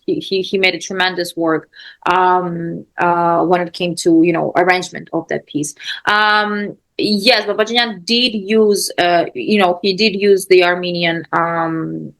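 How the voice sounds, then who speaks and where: A woman musician answering questions in a spontaneous, thoughtful way, halting and repeating phrases for emphasis as she explains. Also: fairly low pitch. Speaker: musician and guest lecturer on a composer.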